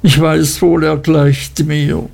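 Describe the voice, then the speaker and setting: A man's voice: level tone, takes it slowly, creaky, darkish tone, heavily acted. A man voicing a despairing young woman by a river, anguished and lamenting. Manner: anguished, lamenting, raised pitch.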